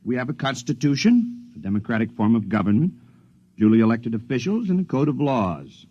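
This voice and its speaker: An eager, polite envoy, husky. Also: male voice, medium pitch, big pitch swings, a little fast. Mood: earnest. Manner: polite, eager, a little hesitant. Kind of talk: 1950s radio drama acting. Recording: lo-fi 1950s radio broadcast, dry studio.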